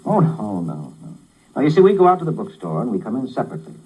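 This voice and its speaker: An actor playing a wry, tolerant struggling writer, narrating and talking in a dry conversational way in a 1950s radio drama. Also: male voice, medium pitch, wildly swooping pitch, fast talker, darkish tone, breathy edge, poor audio. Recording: old 1950s radio broadcast.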